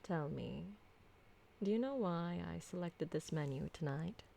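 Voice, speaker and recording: Woman as ancient vampire mistress for ASMR roleplay: genteel, doting, unhurried, with a creaky edge. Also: on the low side, expressive, a little hiss or hum. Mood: doting, gentle. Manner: soft-spoken, genteel, tender, formal wording. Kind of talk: intimate ASMR roleplay acting.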